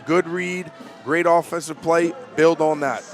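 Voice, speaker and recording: A man with a stuffy, nasal voice from a cold, giving coach-like color commentary on a high school football game from the press box. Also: steady tone, a little slow, soft background music. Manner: coach-like, folksy analysis.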